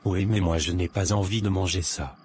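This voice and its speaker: A man playing an irritable, self-assured and covetous married man in an animated drama, with a dismissive, grumbling manner. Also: deep voice, moderate pace, slight background noise, natural voice. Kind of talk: scripted animated drama dialogue.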